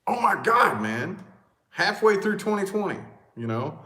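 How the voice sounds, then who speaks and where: A man with a loud, booming voice, talking in a casual, animated chat with exclamations and swearing. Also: a little slow. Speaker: vlog co-host. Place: large echoey house. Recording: echoey room.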